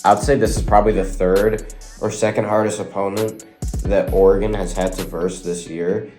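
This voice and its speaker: A man's voice: lowish voice, little pitch movement, moderate pace, darkish tone. A young man with a cold, sounding nasal, casually chatting through sports predictions and rambling to his viewers. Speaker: sports video host. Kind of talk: casual predictions talk to camera. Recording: faint guitar music behind.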